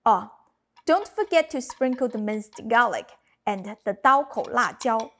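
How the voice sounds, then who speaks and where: A woman in her thirties whose first language is Cantonese, narrating a cooking lesson with clear step-by-step instructions. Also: fairly high pitch, expressive, a little slow, a bit bright. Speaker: cooking channel host. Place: home kitchen.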